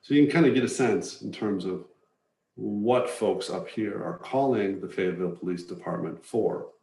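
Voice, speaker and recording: A man giving an informational slide presentation to residents in a measured, matter-of-fact, explanatory way. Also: on the low side, vocal fry, a little mellow. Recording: roomy echo, distant from microphone.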